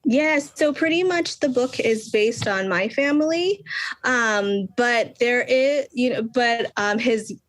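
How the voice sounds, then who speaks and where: A woman from the United States, a children's book author, talks in a casual interview with many pauses and restarts. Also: a little high. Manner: thoughtful, many ums and restarts.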